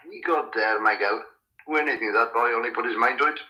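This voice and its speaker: A plain-spoken, loyal old farmhand, fatherly and familiar. His voice is very bright and edgy, in a roomy vintage radio drama recording. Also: mid-range voice, fast. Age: older.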